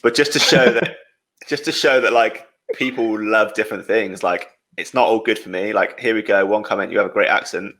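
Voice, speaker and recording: A young man from the English Midlands talking in a relaxed podcast chat. His voice sits low with a gravelly, creaky edge, and he reads viewer comments aloud, laughing easily between them. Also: moderate pace, rough recording. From England, Midlands. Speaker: podcast host.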